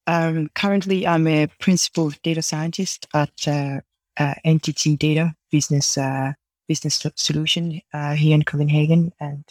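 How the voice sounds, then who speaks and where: A man from Tanzania, a podcast guest chatting casually and haltingly. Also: a little high, fairly even intonation, no room sound. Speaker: podcast guest, data scientist. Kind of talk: casual chat.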